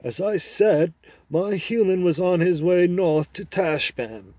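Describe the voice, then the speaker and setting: A man voicing a proud, scornful male talking horse in a storybook reading, loud and lecturing. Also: high-pitched, lively intonation, takes it slowly, dry recording, voice pushed up, exaggerated voice. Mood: confiding. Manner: haughty, lecturing, loud and emphatic.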